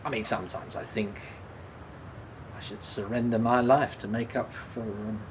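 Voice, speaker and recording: An actor playing a subdued, guilt-ridden man in a radio play, speaking haltingly and repeating his words. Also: on the low side, steady tone, noisy background, lightly acted. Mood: morose, weary. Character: guilt-ridden, self-blaming man, an ex-prisoner, subdued and troubled. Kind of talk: radio play dialogue, naturalistic.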